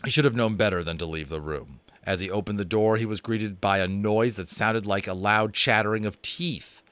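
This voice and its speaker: An American man narrating a children's novel aloud in a dramatic, animated way, with chatty, self-amused asides. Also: fairly low pitch, normal speed, no room sound. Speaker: audiobook reader and host. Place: home room.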